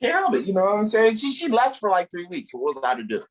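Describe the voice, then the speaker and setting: A man works as a stand-up show host, his pitch moving around in a lively way. He banters directly with the crowd in loose, profane language and builds up each act. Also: fast talker. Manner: profane, hyping, addresses the crowd. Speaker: comedy show host and comedian.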